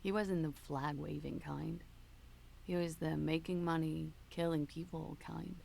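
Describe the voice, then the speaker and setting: A woman's voice: deep voice, steady tone, measured pace, gravelly, a little mellow, slight background noise, exaggerated voice. A woman voicing a weary, guarded female smoker in a video game, speaking tersely. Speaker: game character.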